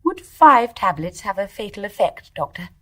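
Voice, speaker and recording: An actress playing an anxious, well-bred young British widow with a genteel manner in a radio drama. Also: female voice, medium pitch, normal speed. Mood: shocked. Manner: genteel, expressive. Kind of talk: British stage-play radio acting.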